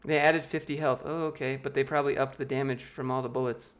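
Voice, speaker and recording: A man's voice: mid-range voice, little pitch movement, fast talker. An American man hosting a livestream, reading viewer questions aloud and answering them in a loose, joking, offhand way. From United States. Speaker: YouTube host answering chat. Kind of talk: casual livestream Q&A.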